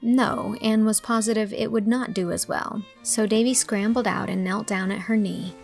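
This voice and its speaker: Woman audiobook narrator, middle pitch with some fry, measured and expressive, music underneath. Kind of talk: scripted audiobook narration with acted dialogue.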